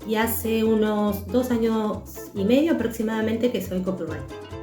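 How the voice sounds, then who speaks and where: A woman's voice: a little high, unhurried. A woman, a copywriter speaking casually in a recorded testimonial. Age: 40s. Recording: roomy room with guitar music.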